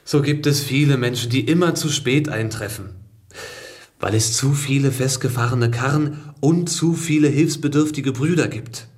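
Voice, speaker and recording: An actor playing a proud, idealistic imprisoned revolutionary man in a German radio play, speaking earnestly and deliberately. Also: mid-range voice, darkish tone, natural voice. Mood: earnest, fervent.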